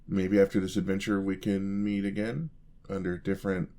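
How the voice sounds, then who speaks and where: A man's voice: deep voice, flat delivery, moderate pace, dark tone. A man playing an eager, charming young apprentice paladin in a fantasy ASMR roleplay, talking to the listener in a polite, easily flustered way. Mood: nervous, bashful. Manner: polite, flustered, hesitant, chatty.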